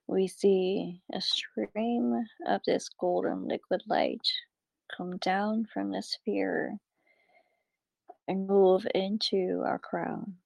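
Female meditation guide: unhurried, measured short phrases, gravelly fry with whispery endings, calm instruction. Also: mid-range voice, dry recording.

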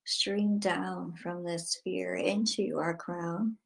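Slow, even-toned woman guiding meditation. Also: lowish voice, creaky. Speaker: guided meditation leader. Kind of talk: guided meditation, slow spoken instructions.

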